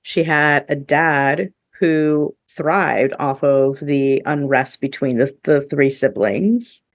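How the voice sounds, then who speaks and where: A woman with a low voice hosts a casual review podcast. She talks in an informal, emphatic way, and the sound is dry and close. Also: slow, dry recording. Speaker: podcast host.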